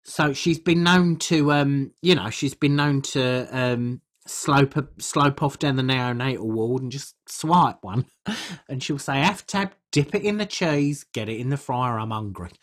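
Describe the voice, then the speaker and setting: A British woman playing an uncouth, food-obsessed podcast co-host, husky and rambling in colloquial, deadpan comic chat. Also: extremely low pitch, measured pace. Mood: breezy, deadpan. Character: uncouth, food-obsessed woman telling absurd tales earnestly.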